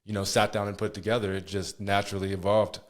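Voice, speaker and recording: A man's voice: fairly low pitch, flat delivery, fast talker. A man, hosting a podcast in casual interview chat. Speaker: podcast host.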